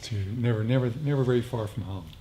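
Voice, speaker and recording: A man leading a meditation group, speaking off the cuff in a reflective, halting way with repeated phrases. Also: mid-range voice, warm tone. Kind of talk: unscripted reflective talk on meditation practice. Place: meditation group meeting room. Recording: noisy live room recording.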